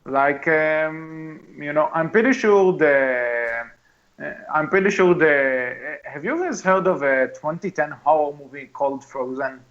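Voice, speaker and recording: A man co-hosting a casual movie podcast, talking emphatically and haltingly, often restarting and repeating his phrases. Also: on the high side, fairly bright tone, lo-fi sound. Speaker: podcast co-host. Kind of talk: casual movie discussion with friends.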